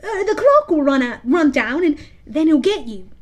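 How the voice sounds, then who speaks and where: A woman's voice: sits high, very animated, moderate pace, pitched above the performer's own voice. A woman voicing a simple, chatty, eager male pirate sidekick in a storybook, guileless and singsong. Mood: blunt, guileless.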